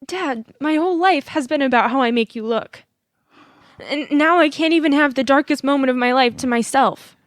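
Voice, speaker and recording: A woman playing a hurt grown daughter of a politician in an audio play, with an emotional, halting, naturalistic delivery. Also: high voice, dry recording, studio quality, lightly acted. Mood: anguished, accusing.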